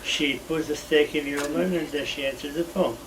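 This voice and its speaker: A man's voice: fairly high pitch, fairly even intonation, a little fast. An older man joking wryly about his marriage in a casual chat. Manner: wry, joking complaints. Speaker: interviewee, husband. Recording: distant mic with loud background noise.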